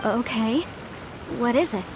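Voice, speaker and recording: A woman's voice: on the high side, expressive, a little slow, noisy background. An actress playing an earnest, inquisitive young woman adventurer in a video game, with a tentative, thoughtful manner. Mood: curious, impressed. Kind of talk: scripted video game dialogue. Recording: background music behind voice.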